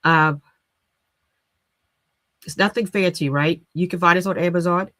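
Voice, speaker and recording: A man's voice: high voice, steady tone, measured pace, pushed and bright, dry recording. A man with a nasal voice hosting a casual livestream, rambling with many fillers and restarts. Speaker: livestream host.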